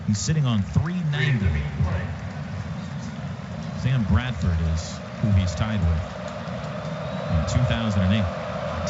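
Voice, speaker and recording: High-pitched excitable American football announcer, male. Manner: excitable, dramatic phrasing. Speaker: radio play-by-play announcer. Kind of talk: live American football radio commentary. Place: stadium radio broadcast booth. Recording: dull lo-fi radio broadcast, loud stadium noise. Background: loud outdoor stadium noise.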